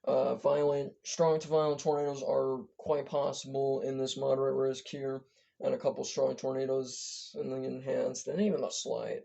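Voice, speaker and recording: Hoarse, gravelly amateur weather forecaster. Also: medium pitch, dark tone. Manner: rambling, stumbling, frequent self-corrections. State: hoarse, losing voice. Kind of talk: casual online weather briefing. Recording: dull lo-fi recording.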